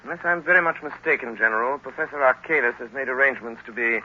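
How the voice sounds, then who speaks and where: A man playing an urbane, determined young gentleman sleuth in old-time radio drama, polite but insistent. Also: medium pitch, quick pace, lightly acted. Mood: earnest, insistent. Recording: muffled old radio recording.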